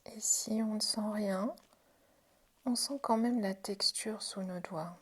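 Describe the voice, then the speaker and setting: A woman leading a guided self-help practice as an instructor, speaking informally in a gentle, reassuring way, with a breathy voice that often drops toward a whisper. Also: medium pitch, slow pace. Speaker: Jin Shin Jyutsu self-help instructor.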